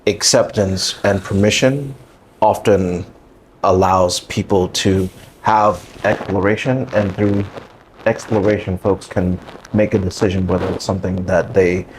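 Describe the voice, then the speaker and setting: A man speaking as a guest educator in a reflective interview, with measured, deliberate phrasing. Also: deep voice, steady tone, slight background noise, poor audio. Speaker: guest performing arts educator.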